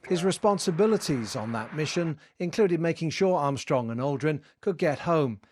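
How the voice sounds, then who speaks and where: A man's voice: a little high, animated, normal speed, a little mellow, slight background noise. A man narrating a scripted news report as a correspondent. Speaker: science correspondent.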